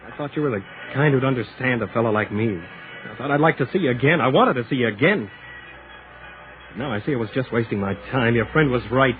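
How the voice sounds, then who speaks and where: A man's voice: mid-range voice, fairly quick, natural voice. An actor playing an eager, lonely young American man in 1940s radio drama style. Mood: hurt, bitter. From United States. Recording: tinny 1940s radio recording, crowd behind.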